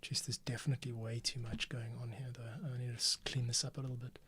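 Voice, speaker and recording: A man's voice: mid-range voice, little pitch movement, fast talker, a bit bright, slight background noise. A man hosting a podcast, talking casually to himself while he edits audio, now and then dropping to a whisper. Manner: chatty, talks to himself while working, drops into whispers. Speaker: podcast host and editor. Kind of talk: casual behind-the-scenes commentary while editing. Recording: music playing behind voice. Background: music playing and keyboard typing.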